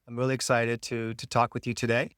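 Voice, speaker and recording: A man hosting a podcast, reading a scripted intro and then asking questions in a relaxed way. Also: mid-range voice, quick pace, clear, resonant tone, no echo, studio quality. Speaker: podcast host, lawyer. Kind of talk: podcast interview.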